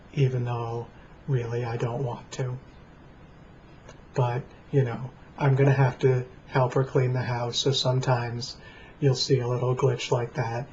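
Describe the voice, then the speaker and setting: An American man rambling casually and deadpan to camera in a plain, flat voice. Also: mid-range voice. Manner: deadpan, dry. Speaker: vlogger. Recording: dull lo-fi webcam sound, faint noise.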